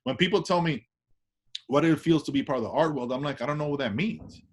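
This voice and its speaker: A man's voice: a little high, brisk, slight background noise. Laid-back New York man talking freely. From Washington Heights, New York. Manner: loose, tag questions, many restarts. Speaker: artist guest. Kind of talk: casual interview chat.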